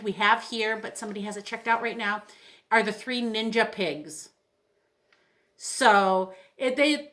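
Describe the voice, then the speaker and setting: A middle-aged woman with a very bright, crisp-edged voice pitched a little high. She talks like a librarian sharing recommendations, informal and chatty, with open enthusiasm. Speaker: librarian presenting book recommendations. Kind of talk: casual book talk, recommending books.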